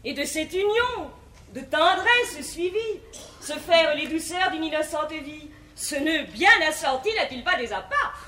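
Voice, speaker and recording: A modest, sensible young sister from classical stage comedy, speaks in a very high voice. Her verse is poised and measured, with sweet irony, in the 1950s manner. The theatre stage around her is strongly echoey. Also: lively intonation, a bit bright. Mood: gently ironic.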